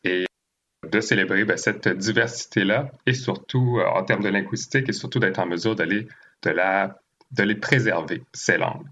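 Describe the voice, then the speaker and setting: A man from Haiti hosting a conversational interview, speaking in a halting way with frequent pauses. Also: fairly low pitch, sharp tone, lo-fi sound. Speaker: podcast interviewer and host. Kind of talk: conversational interview with long spoken introductions.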